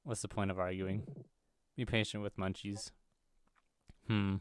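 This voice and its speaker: A man's voice: lowish voice, level tone, unhurried, smooth, dry recording. A man hosting a gaming video, reading visual novel dialogue aloud and now and then stumbling over the words.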